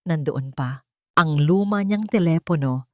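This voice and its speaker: A woman's voice: low-pitched, unhurried, a little mellow. A woman reading a scripted dramatic audio story as its first-person narrator.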